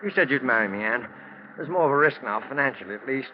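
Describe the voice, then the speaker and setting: An actor playing a fearful, lovestruck plantation owner in a 1940s radio drama, speaking in a halting, agitated, melodramatic way. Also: male voice, medium pitch, expressive, close to an everyday voice. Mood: pleading. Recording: muffled, noisy 1940s radio recording.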